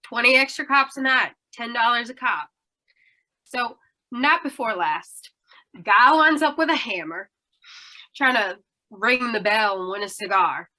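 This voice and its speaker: An actress plays a hard, aging midway woman from a noir radio play. Her voice is raised and sharp, with a forceful ring. She talks in clipped, brassy slang and trusts nobody. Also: a little high, animated, measured pace, pauses often. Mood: gruff, wary. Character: tough, suspicious aging carnival owner woman, sharp-tongued. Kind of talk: 1940s radio noir acting.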